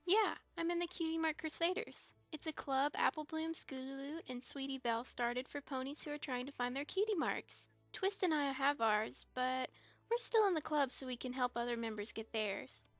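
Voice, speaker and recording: A woman's voice: high-pitched, normal speed, a bit bright, dry recording, muffled recording, faint music behind. A woman playing an earnest, slightly defensive young unicorn filly with a childlike, hesitant manner in an amateur audio drama.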